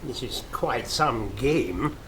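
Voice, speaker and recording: A blunt detective in a radio play. His voice sits at a middling pitch and sounds skeptical, with faint ambience around it. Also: lively intonation, slow, fairly bright tone, slight background noise. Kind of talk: radio drama acting.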